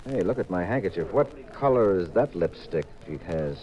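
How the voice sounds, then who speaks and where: An actor playing a skeptical, teasing, self-satisfied detective husband in a 1950s radio comedy-mystery, brisk and sardonic. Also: male voice, deep voice, lively intonation. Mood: smug, amused. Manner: brisk, sardonic, playfully needling. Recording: dull 1950s radio recording, faint music.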